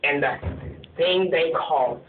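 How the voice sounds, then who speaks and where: A man telling a ghost story in a halting, rambling, repetitive way, as an amateur storyteller. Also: a little high, heavy background noise. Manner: halting, repetitive, starts many sentences with "and so then". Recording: tinny phone-like sound, far from mic, echoey, crowd chatter.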